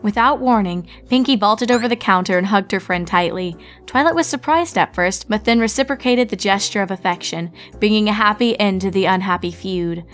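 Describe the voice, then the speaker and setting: Woman, narrator, story reading, mid pitch, soft music behind. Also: a little fast, few pauses. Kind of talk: fanfic audiobook reading.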